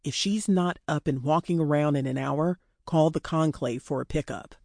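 A woman's voice: sits low, normal speed, gravelly, a little mellow, no room sound, clean studio sound. A woman voicing a commanding, mocking male kidnapper with curt delivery in an audiobook story.

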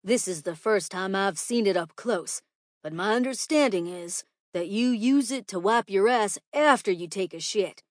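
A woman's voice: medium pitch, normal speed, dry recording, studio quality, lightly acted. A woman voicing a crass, impatient old male schoolteacher in a storybook, blustering and condescending. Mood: explaining.

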